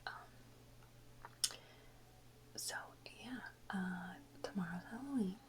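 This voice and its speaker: A woman's voice: medium pitch, takes it slowly, slight background noise. A woman hosting an ASMR video in a whisper, chatting casually and playfully to her viewers.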